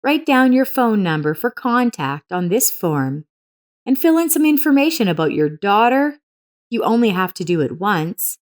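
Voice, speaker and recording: A woman's voice: medium pitch, big pitch swings, moderate pace, voice pushed up, lightly acted. A woman voicing a pleasant, smiling young children's club worker in a story, friendly and helpful. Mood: pleasant, reassuring.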